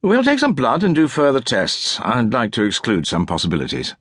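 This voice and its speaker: A man voicing a weary middle-aged woman doctor, brisk, clipped and professionally neutral, in an audiobook. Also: mid-range voice, huge pitch swings, a bit bright, slightly rough, no room sound, studio quality, pitched above the performer's own voice, full character voice.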